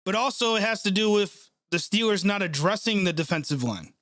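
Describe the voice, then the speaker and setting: A young man reacts live to a game for his stream, his nose stuffed up from a cold. His voice sits fairly high, and he vents with sarcasm and frequent swearing. The sound is dry and close. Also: lively intonation, fairly quick, a bit bright, dry recording. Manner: frustrated, sarcastic, swears often. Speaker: sports channel host reacting live. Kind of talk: live play-by-play reaction stream, reading chat.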